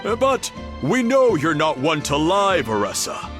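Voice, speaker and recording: A man voicing a calm adult village leader in a video game, measured and reassuring. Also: a little high, music in the background.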